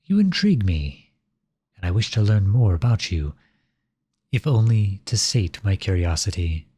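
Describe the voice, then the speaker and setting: A man playing a flirtatious, silver-tongued royal at a masquerade ball in an ASMR roleplay, speaking in courtly, formal, flattering phrases with a husky voice. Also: fairly low pitch, normal speed, no echo. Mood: earnest, coaxing. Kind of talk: ASMR roleplay, scripted romantic monologue addressed to listener.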